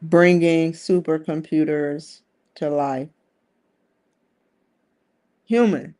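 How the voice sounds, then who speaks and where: A woman's voice: deep voice, steady tone, extremely slow, dark tone. A woman in her forties hosting a live-streamed solo talk show, rambling earnestly with frequent restarts. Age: 40s. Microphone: streaming setup.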